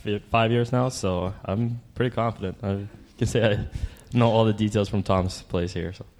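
A young college hockey player who answers slowly and hesitantly. His voice is fairly low, with a faint hiss of noise behind him. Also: fairly even intonation, a little mellow. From Sweden. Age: 20s. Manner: hesitant, many fillers, modest.

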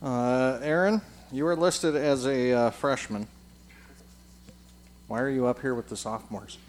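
A man's voice: mid-range voice, unhurried. A man hosting and interviewing on stage at a live event. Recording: live event, noisy room.

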